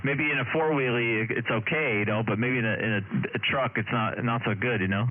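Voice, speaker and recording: A man's voice: medium pitch, fairly quick. An American man chatting casually as a CB radio operator, laid-back and colloquial, with a two-way radio sound. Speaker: CB radio operator hosting a live stream. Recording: tinny band-limited radio audio, loud static hiss. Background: radio static and hiss.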